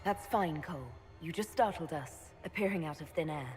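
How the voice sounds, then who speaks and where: An actress playing a resolute, diplomatic leader in a fantasy game cutscene, composed, earnest and decisive. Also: female voice, sits low, animated, moderate pace. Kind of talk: video game cutscene acting. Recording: game music behind the voice.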